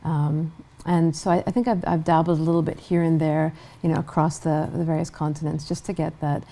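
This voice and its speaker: A middle-aged woman from Guyana speaks as a television interview guest, her voice low and warm-toned. She answers in a measured, thoughtful way, often pausing on an "um" or restarting a phrase. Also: level tone. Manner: measured, with frequent restarts and ums. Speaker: interview guest, human rights director.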